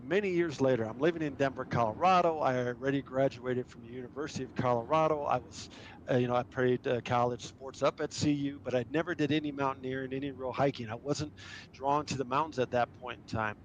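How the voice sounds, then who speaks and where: A middle-aged man who grew up in Germany tells an animated, anecdotal story at an even middle pitch. He speaks casually, as if chatting to a crowd over a headset, with a faint hiss behind him. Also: brisk, low-quality recording. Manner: enthusiastic, anecdotal. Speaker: guest speaker, adventurer and filmmaker.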